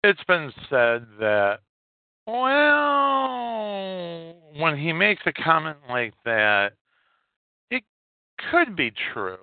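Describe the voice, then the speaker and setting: A male podcast host talks through the news casually and haltingly, taking his time between phrases. His voice is smooth and fairly low, heard through a narrow, phone-like connection. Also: wildly swooping pitch, no room sound. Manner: halting, hesitant delivery with pauses. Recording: narrow phone-like audio, dry.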